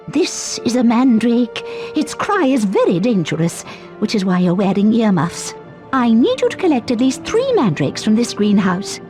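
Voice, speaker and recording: Brisk game herbology teacher, kindly, animated, slightly high, instructive. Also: female voice. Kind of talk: video game voice acting.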